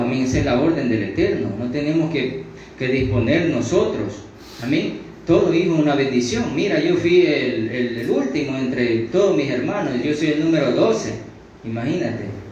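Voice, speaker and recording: A man's voice: fairly high pitch, darkish tone. A man delivers an unscripted Bible teaching with a Latin American Spanish accent. He restarts and repeats phrases, often capping them with an amen. The sound is dull and very echoey, picked up from a distance. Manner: punctuates phrases with amen, repetitive, halting restarts. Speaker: religious teacher, preacher. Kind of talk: sermon, extemporaneous Bible teaching. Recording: very echoey room, distant mic, dull sound, faint noise.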